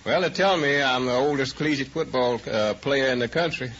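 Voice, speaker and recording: A man's voice: mid-range voice, a little fast, a bit bright, slightly rough. A man in his forties with an American accent answering a quiz host plainly and a little hesitantly, as a contestant. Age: 40s. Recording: dull vintage radio recording, faint noise.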